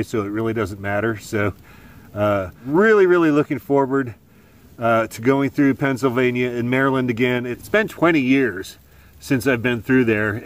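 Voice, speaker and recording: A middle-aged man hosting a hiking vlog, talking to the camera under a tarp outdoors in the woods in a relaxed, chatty way with lots of "uh"s. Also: mid-range voice. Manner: relaxed and chatty, many filler "uh"s. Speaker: hiking vlogger. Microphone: camera at arm's length.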